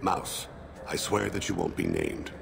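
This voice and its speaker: An actor playing a calm, grave alien assassin and father in a video game, speaking in solemn, measured, formal phrases. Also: noisy background, heavily acted. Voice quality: gravelly, very deep.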